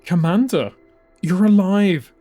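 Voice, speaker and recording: A man's voice: high-pitched, takes it slowly, dark tone, soft background music, voice pushed up. A man voicing a young woman, a pleading and stubborn fighter, in an emphatic dramatised audiobook style. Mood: shocked, hurt.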